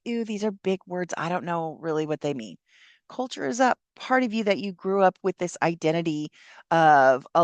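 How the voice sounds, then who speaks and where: A woman's voice: lowish voice, no echo. A woman from Southern California, an older college instructor, explaining an essay assignment in a chatty, encouraging way with lots of fillers. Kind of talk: casual classroom explanation.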